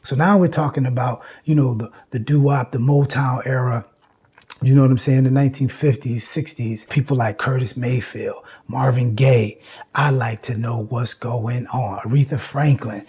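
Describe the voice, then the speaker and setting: A husky male voice at a middle pitch, lecturing informally as a host. He explains step by step, repeating phrases for emphasis and dropping in conversational check-ins. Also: dark tone. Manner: emphatic, repeats phrases, frequent "you know" and "right?".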